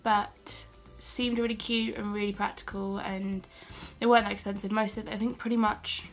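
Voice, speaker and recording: Young British woman, croaky from a cold, flat and chatty haul-vlog delivery. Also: medium pitch, normal speed, faint music behind. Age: young adult. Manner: chatty and self-deprecating, with asides and fillers. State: has a cold, a bit croaky. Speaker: beauty and haul vlogger. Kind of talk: casual haul vlog chat.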